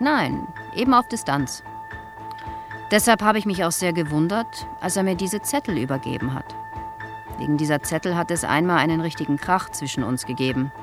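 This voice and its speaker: Low-voiced older theatre dresser played by an actress, music behind. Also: female voice, natural voice. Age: 50s. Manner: halting, reflective recounting, plain-spoken. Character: reserved theatre dresser aged fifty-nine, plain-spoken, regretful. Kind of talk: radio play acting, interview testimony. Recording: music under the voice.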